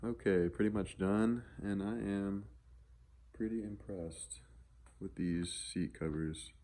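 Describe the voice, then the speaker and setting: A man's voice: fairly low pitch, fairly even intonation, slow, warm tone. A man talking casually and off the cuff in a laid-back vlog about his vehicle project. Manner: laid-back, offhand, unscripted. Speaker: car vlogger showing truck modifications. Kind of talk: casual handheld vlog chat.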